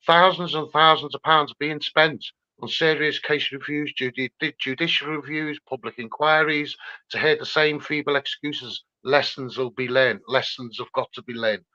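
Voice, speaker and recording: A man's voice: fairly high pitch, steady tone, normal speed, bright, forceful tone. A man with a Scouse accent giving an impassioned, insistent campaigner's talk full of rhetorical repetition. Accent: Scouse (Liverpool). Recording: slightly dull, lo-fi sound.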